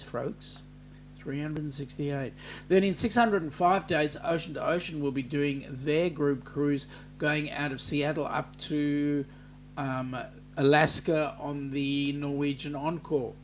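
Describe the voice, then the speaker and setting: Casting: Australian man, live-stream host, easygoing and slow, slightly higher voice, with a faint hum behind him. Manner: unhurried, chatty, many ums, reads comments aloud. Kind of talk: casual live-stream chat reading viewer comments.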